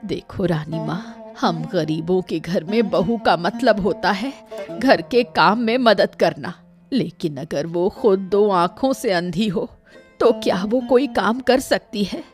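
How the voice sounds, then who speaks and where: A woman's voice: medium pitch, slow pace, close to an everyday voice. Woman doing a grumbling old mother-in-law, bitter and aggrieved, cartoon story with music behind. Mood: aggrieved, lamenting. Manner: complaining, aggrieved. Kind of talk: Hindi cartoon story voice acting.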